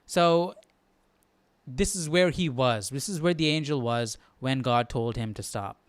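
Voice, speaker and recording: A man's voice: medium pitch, measured pace, clean tone, no room sound. A man hosting a Bible study livestream, talking casually and thinking aloud in questions. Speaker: host of a live Bible study.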